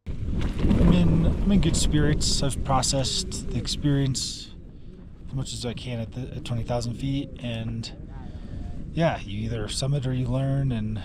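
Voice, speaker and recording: A young man on a climbing team talks to a handheld camera outdoors on a high mountain, measured and reflective, with the odd filler. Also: heavy background noise. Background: background music.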